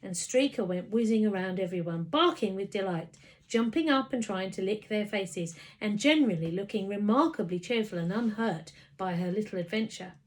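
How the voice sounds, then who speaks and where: Female narrator for a children's book, mid-range voice, expressive scripted reading. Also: mid-range voice, moderate pace, poor audio. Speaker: story reader.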